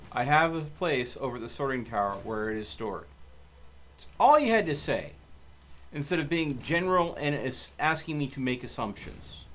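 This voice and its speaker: A man's voice: medium pitch, fairly bright tone, slight background noise. A man streaming a video game and bantering casually with a co-player in a wordy, argumentative, exasperated way.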